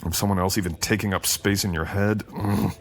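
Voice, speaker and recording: A man playing a menacing, possessive supernatural boyfriend in a romantic ASMR roleplay, speaking in an intimate, brooding and often breathy way. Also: steady tone. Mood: jealous menace. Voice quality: deep, gravelly, often breathy and whispered. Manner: slow, brooding, teasing intimate delivery.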